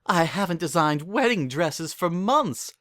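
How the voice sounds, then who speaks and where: A man's voice: on the high side, expressive, a little slow, fairly bright tone, no room sound, studio quality, pitched above the performer's own voice. A man voicing a giddy, refined female pony dressmaker with a gushing, theatrical manner in a cartoon fan story. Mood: giddy excitement.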